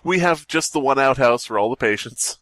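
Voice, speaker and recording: A man's voice: medium pitch, expressive, fairly quick, a bit bright. A man hosting a comedy podcast in casual banter, riffing and wisecracking as he steers the group. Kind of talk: casual joking banter and reactions.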